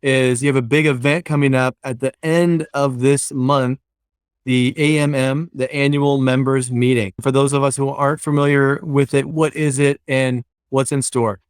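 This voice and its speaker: A man's voice: medium pitch, level tone, moderate pace, clear, resonant tone, no room sound, clean studio sound. A man hosting a virtual interview, friendly and fluent, with occasional hesitant restarts. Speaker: interview host.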